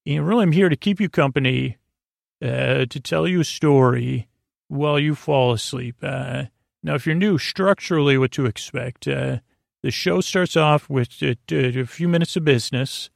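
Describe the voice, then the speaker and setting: A man hosting a bedtime podcast with a creaky voice and a lulling, goofy, meandering and halting delivery. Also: mid-range voice, no echo, studio quality. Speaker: podcast host. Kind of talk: rambling bedtime monologue.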